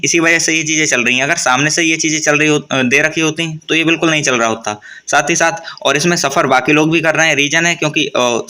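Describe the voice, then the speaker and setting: A man's voice: a little high, pushed and bright. A man hosting an informal explainer for viewers, reading out notices and often restating his points. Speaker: host of an exam news channel.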